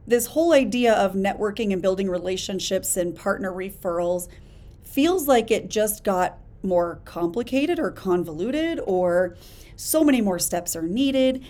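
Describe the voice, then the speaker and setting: A woman hosting a solo podcast, talking in an energetic, emphatic, conversational way with a hoarse voice while she gets over a chest illness. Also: medium pitch, unhurried. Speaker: podcast host. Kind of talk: casual solo podcast talk.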